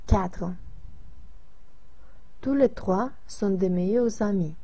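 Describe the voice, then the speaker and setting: A woman reads out lesson instructions and exercise questions at a very slow, deliberate pace. Her voice is mellow and soft with a breathy quality, and she delivers each line evenly. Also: medium pitch. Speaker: lesson narrator. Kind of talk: reading a French lesson and exercises.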